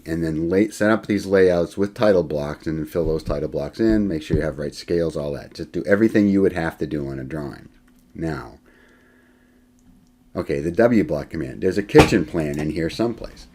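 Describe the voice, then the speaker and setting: A man with a very deep, low voice walks students through a software demonstration in an easygoing lecture. He thinks aloud, pauses on fillers and corrects himself as he goes. Keyboard typing clicks in the background. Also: husky. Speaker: college CAD instructor. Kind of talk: screen-recorded software lecture.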